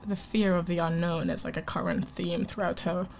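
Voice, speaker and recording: A woman's voice: lowish voice, little pitch movement, normal speed, slight background noise. A woman from Helsinki with a Finland Swedish accent, a native Swedish speaker who is a guest in a casual chat and gives agreeable, short replies.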